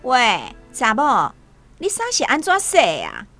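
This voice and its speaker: An actress with a Taiwanese accent playing a spoiled, spiteful young concubine in a radio drama. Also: female voice, on the high side, huge pitch swings, slow pace, a bit bright, no room sound, soft background music, exaggerated voice. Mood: scornful, bossy.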